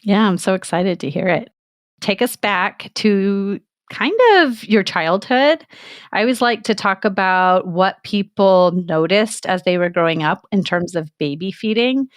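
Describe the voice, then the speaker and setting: A woman hosting a podcast as a lactation consultant, reading a scripted intro in a steady, measured way and then guiding an interview. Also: medium pitch, dry recording, clean studio sound. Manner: steady, measured read with slow deliberate emphasis.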